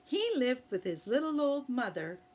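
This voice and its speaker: A woman retelling a fairy tale to young children in a gentle, expressive, sing-song way. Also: high-pitched, moderate pace. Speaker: early-years storyteller. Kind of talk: children's story reading.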